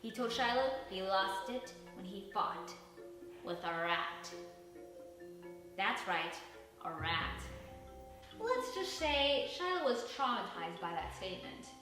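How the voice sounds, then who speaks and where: A woman narrating a children's storybook in an expressive, animated, dramatic way. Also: mid-range voice, slow pace, pauses often. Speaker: storybook reader. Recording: echoey room, distant mic, faint music.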